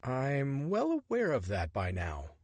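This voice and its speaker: A man's voice: medium pitch, wildly swooping pitch, slow pace, darkish tone, dry recording, voice pushed up, exaggerated voice. A man reading a story voices a flustered, sarcastic American adventurer who is defensive and exasperated. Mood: weary.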